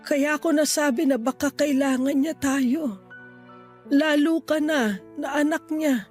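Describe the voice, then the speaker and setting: A woman playing a frail, ailing grandmother in a radio drama, speaking gently and wearily with sorrow. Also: sits high, measured pace. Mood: gentle, pleading. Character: frail, ailing grandmother near seventy, tender and resigned. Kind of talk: Tagalog radio drama acting. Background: background music.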